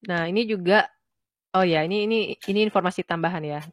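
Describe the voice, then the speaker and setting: A woman's voice: fairly low pitch, quick pace, no room sound. A woman with a stuffy, nasal voice from a cold, explaining charts informally as a trading instructor. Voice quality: nasal, congested. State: has a cold. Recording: dull lo-fi close dry audio.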